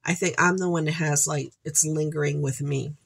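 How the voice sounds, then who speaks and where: A congested woman in her forties chats through a store haul with rambling, upbeat asides, her voice sitting somewhat low for a woman. She talks to a webcam while seated in a room at home. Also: steady tone, normal speed, creaky, slightly rough. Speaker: haul vlogger, channel host. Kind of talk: casual haul chat to camera. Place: home room with shelves, indoors.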